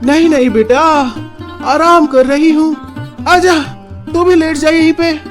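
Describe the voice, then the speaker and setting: A woman's voice: sits high, fairly even intonation, a little slow, soft background music. A woman playing a scheming, nosy older mother-in-law in a theatrical, complaining cartoon family drama style. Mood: sarcastic, pained. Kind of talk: animated family drama acting.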